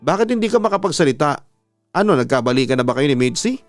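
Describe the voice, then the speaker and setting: A man's voice: fairly high pitch, sing-song, fast talker, dry recording, soft background music. A man voicing a heartbroken young woman in a dramatic story, bitter, pleading and accusing. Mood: hurt, sarcastic.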